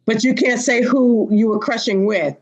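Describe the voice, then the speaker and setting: A woman's voice: a little high, normal speed, highs cut off. A woman hosting a casual panel chat, prodding her guests in a teasing way and repeating her phrases. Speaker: host of a panel discussion.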